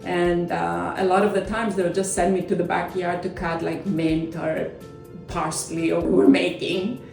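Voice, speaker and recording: A middle-aged woman from Crete, calmly explaining the steps of a home cooking demonstration in a kitchen. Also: fairly low pitch, a little slow, vocal fry. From Crete, Greece. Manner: explains steps plainly, small slips in grammar. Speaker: chef and company founder. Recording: echoey kitchen, far from mic, faint music.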